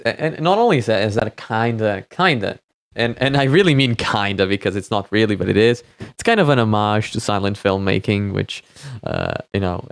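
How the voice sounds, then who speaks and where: Male reaction host, low and smooth, close dry sound, loose hesitant analysis with repeated words. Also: no room sound. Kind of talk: casual off-the-cuff commentary.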